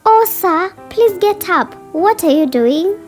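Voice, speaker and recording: Whiny, very high-pitched stubborn little girl. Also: lively intonation, takes it slowly, soft background music, heavily acted. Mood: surprised. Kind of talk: children's fairy-tale character voice.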